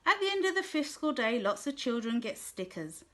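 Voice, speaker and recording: Gentle woman reading a picture book. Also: a little high, big pitch swings, moderate pace. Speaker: storybook reader.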